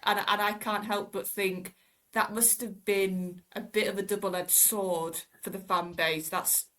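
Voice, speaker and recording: A woman talking casually and thoughtfully as a panelist on a podcast, with expressive phrasing. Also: mid-range voice, a little slow. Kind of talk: casual discussion.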